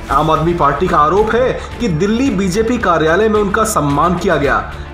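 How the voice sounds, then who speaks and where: A man's voice: high-pitched, a bit bright. A man delivering a scripted news report as a voice-over. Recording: echoey, music bed.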